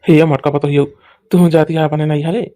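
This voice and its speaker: A man playing a grumbling, high-voiced old village father-in-law. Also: little pitch movement, normal speed, warm tone, natural voice. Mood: pleading. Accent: Awadhi. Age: older. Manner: grumbling, pleading. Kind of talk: rural cartoon comedy dialogue.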